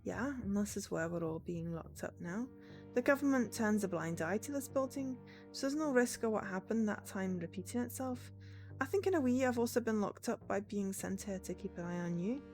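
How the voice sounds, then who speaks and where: A woman voicing an earnest, anxious young female guard in a cyberpunk game, soft-hearted and pleading. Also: medium pitch, lively intonation, normal speed, soft background music, lightly acted.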